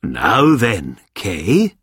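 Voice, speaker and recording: A man's voice: fairly low pitch, huge pitch swings, breathy edge, dry recording, studio quality, exaggerated voice. Sir Ector: a man doing a kindly, feeble old knight. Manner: gentle, slow, earnest.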